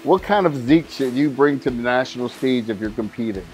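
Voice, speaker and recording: A man's voice: medium pitch, quick pace. A man, a veteran bodybuilder, giving blunt advice in a documentary interview. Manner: blunt, advising. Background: music.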